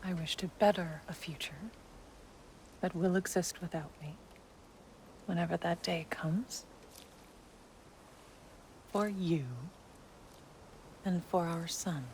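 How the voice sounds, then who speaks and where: A woman playing a gentle, wise wife in a video game drama, serene about death, speaking softly and with measured tenderness. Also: lowish voice, fairly even intonation, a little hiss or hum, low-quality recording. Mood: hushed, tender.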